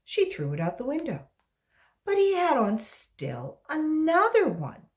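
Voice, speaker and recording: A woman reading a story aloud to young children, expressive and emphatic, as a volunteer reader. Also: high-pitched, unhurried.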